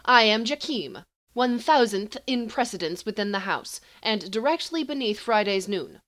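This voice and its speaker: A woman's voice: mid-range voice, animated, normal speed, a bit bright, voice pushed up, close to an everyday voice. A woman voicing a haughty, condescending male sorcerer with a clipped, superior manner. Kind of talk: storybook reading.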